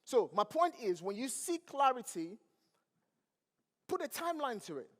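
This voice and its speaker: A man's voice: very high-pitched, very animated, moderate pace, a bit bright. A man with a Nigerian accent, English not his first language, giving a motivational speech on stage and asking the crowd questions. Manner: preachy, asks the crowd questions. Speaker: motivational speaker. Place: large event hall. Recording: long hall reverb.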